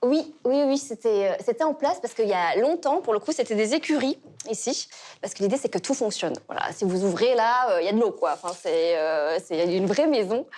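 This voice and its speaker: A woman's voice: mid-range voice, animated, brisk, darkish tone. Hesitant woman shop owner from Belgium. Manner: hesitant, many fillers, friendly. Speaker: decor shop co-founder. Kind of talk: casual interview answers. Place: indoor decor shop.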